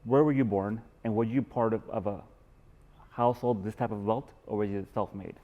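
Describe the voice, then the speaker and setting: A man's voice: lowish voice, steady tone, moderate pace, narrow, tinny audio. A man from Pakistan hosting a podcast in a guest's house, opening with formal showman greetings and asking earnest questions. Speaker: podcast host. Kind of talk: podcast interview.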